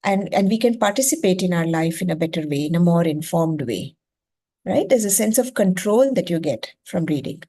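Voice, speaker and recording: A woman's voice: on the low side, lively intonation, normal speed, warm tone. Indian woman teaching, with emphatic delivery. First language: Gujarati. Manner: emphatic, repeats phrases, checks in with rhetorical questions. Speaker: educator leading a masterclass on reading. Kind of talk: explanatory teaching talk.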